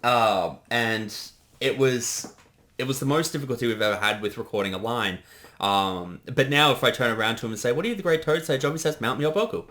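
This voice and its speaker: A man's voice: mid-range voice, brisk, fairly bright tone. A man giving casual, rambling creator commentary, often interrupting himself. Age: 30s. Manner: hesitant, rambling, self-interrupting.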